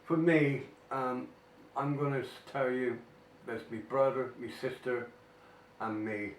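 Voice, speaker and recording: Slow-talking older man with a cold. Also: mid-range voice. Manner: slow, chatty, frequent mm-hmms and ums. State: has a cold. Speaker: vlogger. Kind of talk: casual spoken answers to weekly questions. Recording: echoey room, mic at a distance, faint noise.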